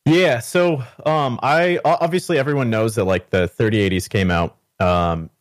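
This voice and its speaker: A young Canadian man chats on a podcast in a loose, rambling way, full of fillers. His voice sits at an ordinary pitch and sounds dry and close, with no room around it. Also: fairly even intonation, moderate pace, dry recording. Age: 20s. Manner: laid-back, rambling with fillers and laughs. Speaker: podcast guest. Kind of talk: casual chat.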